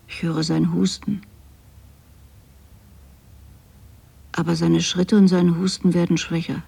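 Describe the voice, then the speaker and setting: A lonely older mother in a literary radio play. Her voice is dark, husky and full of creak, and she speaks in an intimate, brooding, yearning way. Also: lowish voice, full character voice. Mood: desolate, brooding. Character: lonely, yearning middle-aged or older mother of grown children. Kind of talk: literary radio drama monologue.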